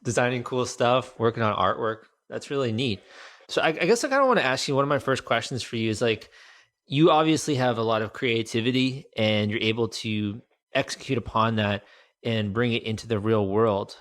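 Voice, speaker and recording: A man's voice: medium pitch, a little fast, fairly bright tone. A man hosting a podcast, asking casual, curious interview questions. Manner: casual, curious, uses "like" a lot. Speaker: podcast host.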